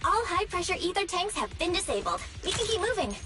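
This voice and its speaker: A high, expressive young woman's voice sits over loud music. She sounds like an earnest mission guide, alert and concerned as she talks. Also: a little fast. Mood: focused, urgent. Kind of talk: video game voice acting. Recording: loud game music behind. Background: loud video game music.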